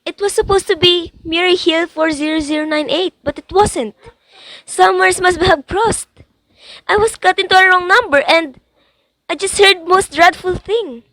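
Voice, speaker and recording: A woman's voice: extremely high pitch, normal speed, pushed and bright, no echo, rough recording. A young woman playing an agitated, insistent invalid wife in a theatrical student radio drama. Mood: horrified, urgent. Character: anxious, imperious invalid wife alone at night.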